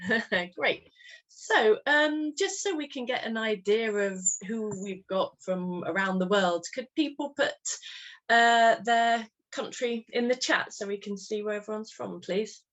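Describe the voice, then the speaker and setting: A woman's voice: fairly high pitch, unhurried, a bit bright, low-quality recording. Chatty Englishwoman hosting an online call. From England. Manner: friendly, chatty, laughs often. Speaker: webinar host. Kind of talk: online meeting moderation. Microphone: video call.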